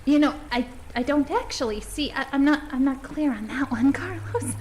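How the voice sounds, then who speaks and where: Woman playing high-voiced documentary interviewer, noisy recording. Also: lively intonation, moderate pace, darkish tone. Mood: bemused, polite. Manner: polite, chatty, slightly bemused. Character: polite, admiring small-town documentary interviewer. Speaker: host. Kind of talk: comic mockumentary interview.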